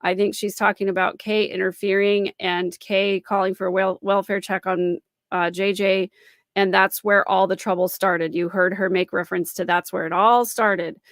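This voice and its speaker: Female livestream commentator, mid-pitched, explanatory, repeats points for emphasis, dry close sound. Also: flat delivery, normal speed, dry recording. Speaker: host and commentator. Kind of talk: live-stream commentary analysing a recording.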